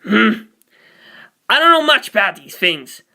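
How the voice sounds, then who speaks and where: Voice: medium pitch, very animated, measured pace, vocal fry, bright, forceful tone, exaggerated voice. A reader voicing a hot-tempered, foul-mouthed young male gangster in a story, brash and slangy. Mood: puzzled.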